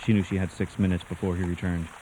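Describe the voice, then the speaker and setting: An actor playing a grave storyteller, narrating a suspense tale for radio drama in a theatrical, deliberate manner. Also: male voice, low voice, flat delivery, background noise, lightly acted. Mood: tense, dramatic. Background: music.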